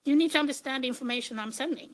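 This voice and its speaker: High-pitched Swedish woman presenting. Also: racing. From Sweden. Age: middle-aged. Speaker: conference speaker, computer engineer. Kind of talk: conference presentation with slides.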